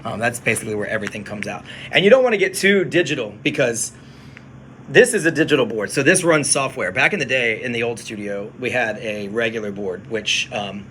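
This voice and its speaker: A man who works as a radio DJ, chatting casually on a phone livestream from his studio, relaxed and explaining things to viewers. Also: mid-range voice, fairly quick, a little hiss or hum.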